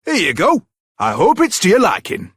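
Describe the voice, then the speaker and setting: A man playing a brusque but generous trader in a video game, with a husky voice and animated delivery. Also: sits high, moderate pace, no room sound, studio quality, exaggerated voice. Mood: friendly, eager. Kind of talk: lively video game character acting.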